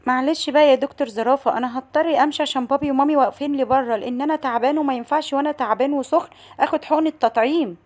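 A woman's voice: high voice, racing, close to an everyday voice. A woman voicing a polite, poorly young elephant with an Egyptian Arabic accent in a children's animal show. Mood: apologetic.

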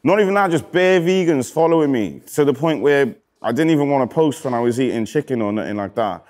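A man in his thirties from Wales with a Cardiff accent, a rapper casually reading out comments in a relaxed, slangy way that often trails off quietly. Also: mid-range voice, fast talker, a little hiss or hum. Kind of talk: casual chat. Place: indoor studio with graffiti wall.

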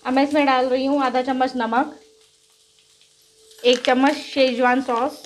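High-voiced woman teaching a home recipe. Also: flat delivery, unhurried. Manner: patient, instructive, addresses viewers as friends. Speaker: cooking channel host. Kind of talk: step-by-step cooking demonstration. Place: home kitchen with gas stove.

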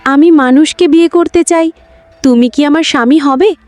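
A woman's voice: high voice, moderate pace, darkish tone, no room sound, soft background music, voice pushed up, close to an everyday voice. A woman voicing an eerie female ghost bride who pleads sweetly and plaintively to marry, in a storybook horror tale. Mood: sweet, longing.

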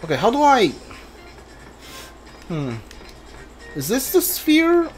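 A gamer talks through a live playthrough in a casual, unhurried voice of medium pitch. The delivery is halting, with thoughts spoken aloud and muttered asides, while game music plays behind. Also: huge pitch swings, poor audio. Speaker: video game player and commentator. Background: video game music.

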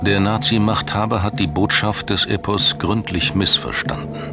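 A man narrating a scripted documentary in a measured, dramatic storytelling manner. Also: lowish voice, fairly even intonation. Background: background music.

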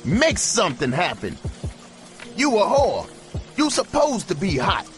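A man playing a cold, hard older pimp who lectures in gruff street slang. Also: fairly low pitch, expressive, a little slow. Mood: furious. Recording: music bed behind the voice.

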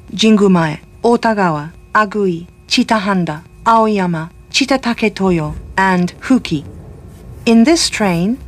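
Woman, medium pitch, slow pace, recorded train announcement, careful enunciation. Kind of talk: recorded public announcement, scripted.

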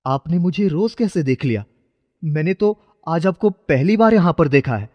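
A man's voice: a little high, lively intonation, moderate pace, big-room sound, highs cut off. A man voicing a poor, kind-hearted young shoe-shine boy, earnest and pleading, in a children's moral story. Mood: curious, polite.

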